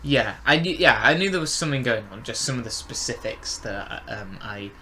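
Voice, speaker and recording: Guy in a casual review chat, lively up-and-down pitch, kinda halting, some background noise. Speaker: podcast review co-host.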